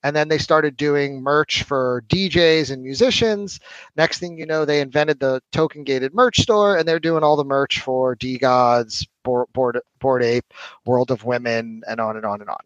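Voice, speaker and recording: A man in his forties, a podcast guest, telling his story in a relaxed, casual way with lots of fillers. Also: medium pitch, unhurried, no room sound, highs cut off. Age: 40s. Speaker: podcast guest, marketing executive. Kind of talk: casual interview chat.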